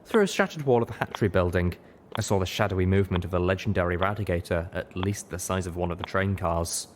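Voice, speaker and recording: Guy reading a first person story, lowish voice, slight noise behind him. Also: fast talker. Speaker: audiobook reader.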